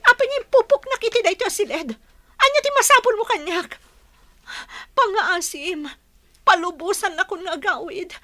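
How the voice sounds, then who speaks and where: A woman's voice: extremely high pitch, expressive, moderate pace, pushed and bright, dry recording, heavily acted. A woman with an Ilocano accent playing a pleading young daughter in love in a radio drama. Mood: distressed, pleading.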